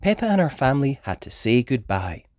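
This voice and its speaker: A woman reading a children's story aloud in an animated storytelling style. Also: rumbling low, fairly quick, no echo, studio quality.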